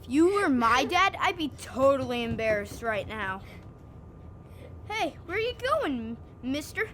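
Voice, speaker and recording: Woman as a very high-pitched bratty boy. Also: very animated, measured pace, a bit bright, slight background noise, exaggerated voice. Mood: jeering, gleeful. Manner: taunting, singsong. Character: bratty, jeering young boy heckler. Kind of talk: audio drama acting.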